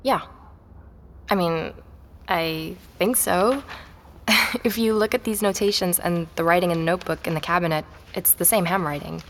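An actress playing a curious, quietly persistent young woman visitor, speaking tentatively in naturalistic audio drama dialogue. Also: on the low side, normal speed, slight background noise. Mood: tentative, insistent. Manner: tentative, inquisitive. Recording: long hall-like reverb.

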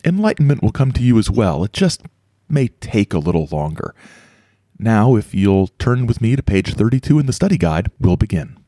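A man's voice: fairly low pitch, sing-song, moderate pace, warm tone, dry recording, clean studio sound. An actor playing an earnest male spiritual guru, a Vietnam veteran, preaching solemnly to recruits in an audio play. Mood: earnest, persuasive. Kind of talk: earnest speech to followers.

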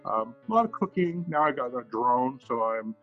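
A man's voice: mid-range voice, very animated, moderate pace. A Canadian man chats as a podcast guest in a mid-range voice, loosely stringing his sentences together. The audio is a bit dull and lo-fi, with faint guitar music behind. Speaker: podcast guest, video blogger. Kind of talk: casual interview chat.

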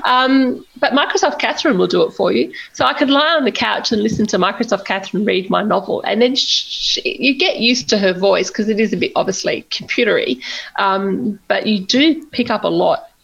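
A woman's voice: medium pitch, brisk, creaky, fairly bright tone, muffled recording. A woman novelist, talking candidly in an interview in a chatty, self-deprecating way and laughing often. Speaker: novelist guest.

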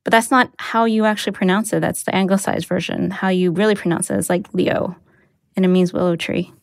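A young adult woman, raised speaking Mandarin first, telling a personal story in a candid, reflective way with soft laughs. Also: fairly low pitch, steady tone, a little fast, no echo, studio quality. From United States. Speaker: interviewee, newsletter writer.

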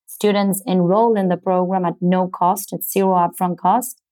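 Argentine woman, even low-pitched voice, halting delivery. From Buenos Aires, Argentina. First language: Spanish. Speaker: interview guest, startup co-founder. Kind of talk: explanatory interview answers.

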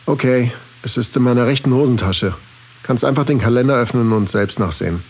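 A man's voice: medium pitch, fairly quick, a little mellow, slightly rough. A man acting a flirtatious single father in an intimate erotic audio drama, teasing and a little hesitant, narrating his own thoughts. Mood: casual, slightly awkward.

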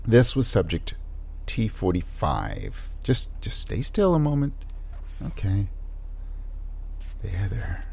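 An actor playing a fussy, awkward but kind scientist in an audio drama, with a husky, halting, precise delivery. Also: male voice, fairly low pitch, wildly swooping pitch, darkish tone, exaggerated voice. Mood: fussy, absorbed. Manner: halting, hesitant, mild-mannered and precise. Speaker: scientist and doctor.

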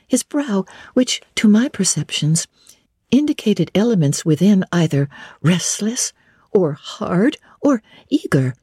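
A woman narrating a classic novel as a dramatic reading, with measured, deliberate and formal phrasing. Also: mid-range voice, mellow, breathy edge, dry recording, clean studio sound. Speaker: audiobook narrator.

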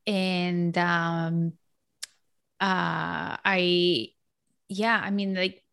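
A woman's voice: lowish voice, little pitch movement, drawn-out words, gravelly, fairly bright tone, clean tone. A woman from Colombia in her forties, a guest talking in an outgoing, chatty way with many fillers and restarts. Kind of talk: casual interview chat.

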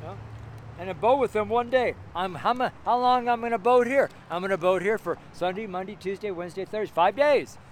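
High-voiced man in his seventies, street preaching. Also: brisk. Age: 70s. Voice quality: strong, carrying voice. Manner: rambling, exclamatory, repeats phrases for emphasis. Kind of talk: informal extemporaneous street sermon. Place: outdoors on a city street among buildings. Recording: outdoor street noise, traffic ambience.

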